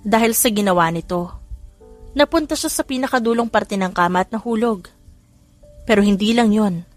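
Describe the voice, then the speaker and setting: A woman's voice: medium pitch, moderate pace. A woman with a Filipino accent reading a scripted story as an audiobook narrator, acting out the dialogue. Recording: music under the voice. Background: background music.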